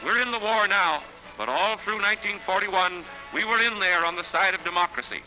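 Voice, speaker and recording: A man's voice: sits high, fairly quick. An American man narrating a 1940s newsreel in an emphatic, declamatory manner. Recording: muffled 1940s newsreel soundtrack. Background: orchestral music.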